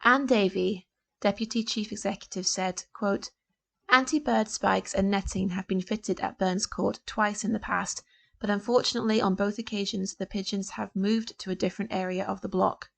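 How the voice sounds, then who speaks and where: A woman reading local newspaper articles aloud as a volunteer, in a deliberate, formal way. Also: mid-range voice, steady tone, creaky. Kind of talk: scripted news reading for a talking newspaper.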